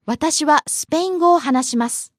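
A woman from Japan, teaching on a bilingual podcast language lesson and reading example phrases in a lively way. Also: high voice, fast, no echo, studio quality. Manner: lively, gives example phrases and chuckles along.

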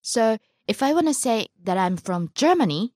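Lively woman teacher. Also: fairly high pitch, normal speed, no echo, studio quality. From Japan. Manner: lively, gives example phrases and chuckles along. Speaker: Japanese teacher. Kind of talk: bilingual podcast language lesson.

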